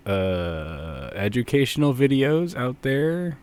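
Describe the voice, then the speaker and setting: A man's voice: mid-range voice, sing-song, slow pace, darkish tone, clear, resonant tone. A man hosting a casual podcast group chat in a joking, teasing way. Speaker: podcast host.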